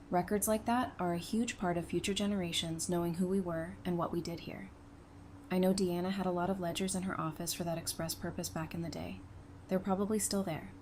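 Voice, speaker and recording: A woman's voice: mid-range voice, lightly acted. A woman acts out a practical, affectionate newlywed in an audio drama. Her mid-range voice is casual and lightly teasing, recorded over audible ambient noise. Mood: thoughtful. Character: affectionate, playful newlywed bride, practical and bright-minded.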